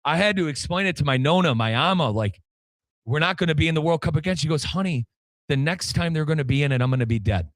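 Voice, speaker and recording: A man's voice: a little high, lively intonation, fast, fairly bright tone, no room sound. Emphatic male sports talk host, dry sound. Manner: emphatic, repeats himself. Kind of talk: opinionated casual sports banter. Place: radio studio.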